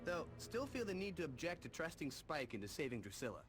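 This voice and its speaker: Jokey insecure young american sidekick, game acting, highish voice that bounces around. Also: male voice, big pitch swings, a little fast, loud music behind the voice. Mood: wry objection. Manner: wry, wordy, jokey. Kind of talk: video game cutscene acting.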